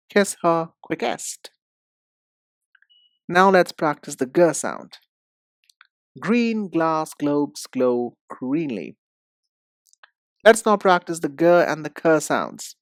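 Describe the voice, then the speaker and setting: A woman giving an instructional pronunciation lesson, speaking deliberately and enunciating with emphasis and pauses. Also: fairly low pitch, no echo, studio quality. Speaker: English pronunciation teacher. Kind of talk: instructional lesson, demonstrating sounds.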